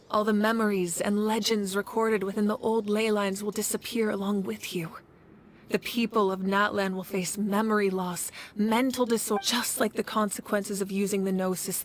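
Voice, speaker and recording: A woman's voice: mid-range voice, lightly acted. Woman as resolute fantasy leader, firm and formal. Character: resolute, dutiful female leader of a fantasy nation. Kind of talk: scripted video game voice acting.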